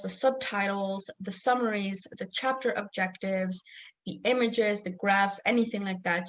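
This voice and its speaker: A woman's voice: medium pitch, rough recording. A young woman born in Mexico with Spanish as her first language, presenting a study-skills workshop as an academic coach in an organized, instructional way. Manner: organized, instructional, frequent um fillers.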